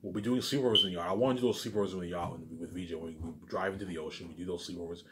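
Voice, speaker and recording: A man's voice: low-pitched, steady tone, very fast, background noise. A man in a casual, rambling monologue, boastful and repetitive, with emphatic bursts that trail off into muttered tags. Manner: repetitive, keeps restating phrases and tagging sentences with catchphrases; boastful, self-aggrandizing.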